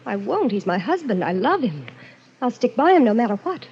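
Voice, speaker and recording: An actress playing a devoted, stubborn young wife in a radio melodrama, fervent and pleading, on a muffled, noisy old recording. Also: female voice, on the high side, very animated, fairly quick, darkish tone, husky, exaggerated voice. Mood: defiant. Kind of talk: 1940s radio melodrama acting.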